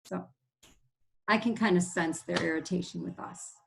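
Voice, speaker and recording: A woman's voice: fairly low pitch, moderate pace, creaky, fairly bright tone, a little hiss or hum. A woman hosting a children's read-aloud, telling the story expressively with casual asides and simple explanations. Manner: explains words as she reads.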